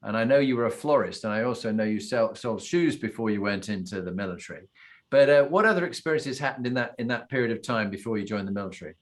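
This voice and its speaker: A man from Britain hosting an interview podcast, enthusiastic and quick to laugh, with stammering restarts as he asks questions. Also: lowish voice. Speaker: podcast host.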